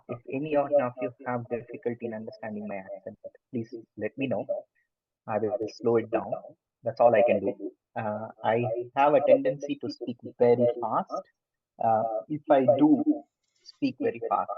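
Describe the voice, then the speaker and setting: A man's voice: mid-range voice, slightly rough, distant mic. A man with an Indian accent giving an online class, hesitant with many fillers and restarts. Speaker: lecturer. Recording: phone-like muffled line from afar.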